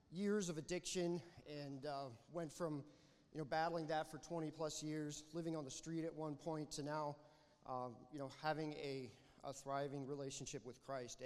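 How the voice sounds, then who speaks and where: A man hosting a church service, giving a welcome and a prayer in a halting way with many fillers. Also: a little high, a little mellow. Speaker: church host leading a service. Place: church sanctuary. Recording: long reverb of a church hall.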